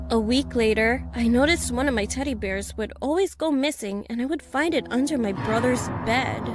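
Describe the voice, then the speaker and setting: A woman in her twenties reading a first-person horror story in a calm, even way. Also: fairly high pitch. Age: 20s. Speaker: narrator.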